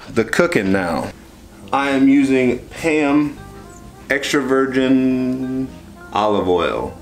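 A young man with a fairly low voice talks through a cooking how-to in a loose, chatty way, often stopping to restart his sentences. He films himself with a handheld camera in a small home kitchen. Soft music plays faintly underneath. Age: young adult. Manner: rambling, chatty, self-correcting. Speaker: vlogger. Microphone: handheld camera at arm's length.

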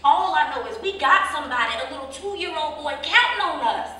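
A woman's voice: high voice, lively intonation, brisk, bright, forceful tone. A woman acting a frustrated, practical young working-class mother in a staged drama reading, speaking emphatically in vernacular with pointed questions. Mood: weary, loving. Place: live staged reading, recorded from a distance indoors. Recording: echoey room, distant mic, faint noise.

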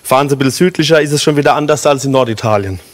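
A man's voice: mid-range voice, rapid-fire. A German man in his thirties, a chef explaining a recipe step by step in a TV studio kitchen, with many fillers. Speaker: guest chef. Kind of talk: cooking demonstration chat.

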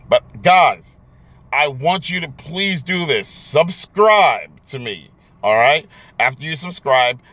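Casting: American man, thirties, medium-pitched voice, casual YouTube commentary to camera, halting, emphatic delivery with repeated words. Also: fairly bright tone. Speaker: YouTube vlogger. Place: inside a car in daylight. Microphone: phone or camera at arm's length.

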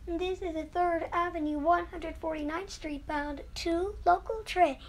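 A woman reading scripted subway train announcements in a measured, formulaic manner. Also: very high-pitched, steady tone.

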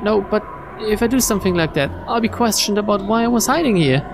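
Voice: medium pitch, lively intonation, quick pace, background noise. A streamer reading visual novel text aloud in a dramatic narrating style. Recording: game music behind the voice.

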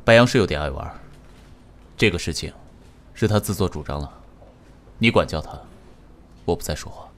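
An actor playing a composed, calculating, wealthy chairman in a radio drama, measured and formal. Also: low-pitched, animated. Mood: calm, dry. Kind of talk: Chinese radio drama acting.

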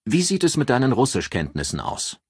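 A man voicing a brisk, dryly polite male chief inspector in a crime audiobook. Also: mid-range voice, huge pitch swings, breathy edge, no room sound, clean studio sound, voice pushed up. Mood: businesslike. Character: businesslike, wry male police chief inspector.

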